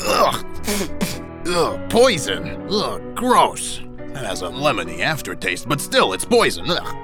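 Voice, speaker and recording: Man acting a slow, swooping, disgusted butcher. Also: medium pitch, wildly swooping pitch, music in the background. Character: disgusted butcher turned town coroner.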